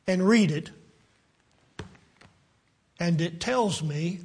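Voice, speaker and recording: Husky, halting West Virginian preacher. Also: male voice, high voice, a bit bright. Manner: halting, many fillers and restarts. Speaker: pastor. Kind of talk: sermon.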